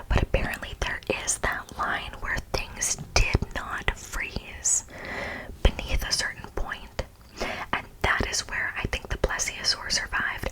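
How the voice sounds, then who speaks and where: Woman in a hushed ASMR whisper. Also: low-quality recording. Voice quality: breathy unvoiced whisper. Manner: informal, emphatic, repeats phrases. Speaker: ASMR video host. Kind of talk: whispered ASMR, part reading, part casual commentary.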